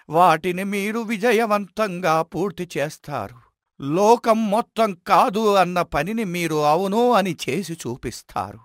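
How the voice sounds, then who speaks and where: A man's voice: high voice, fairly bright tone, dry recording, clean studio sound. A man voicing a humble, wise old commoner who explains things respectfully and reassuringly in a dramatized moral story.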